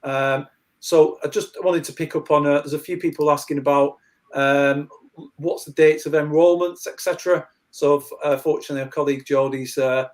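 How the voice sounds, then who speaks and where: A man's voice: on the high side, steady tone, normal speed. A man co-hosting a panel, explaining things steadily with many fillers. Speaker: co-host, lecturer. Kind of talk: conversational panel talk.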